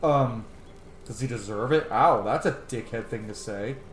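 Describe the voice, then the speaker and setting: A man's voice: medium pitch, moderate pace, a little hiss or hum. Chatty man, echoey game commentary. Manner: chatty, musing, rambling. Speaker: gaming streamer, let's-player. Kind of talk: casual gameplay commentary. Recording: roomy echo, faint game music. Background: faint game music.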